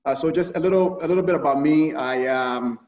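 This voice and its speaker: A middle-aged man from Ghana, a urologist, giving an informal health talk over a video call, halting with many fillers and restarts. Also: on the high side, a little mellow. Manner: hesitant, many fillers and repeated starts. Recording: roomy, distant, dull video-call audio.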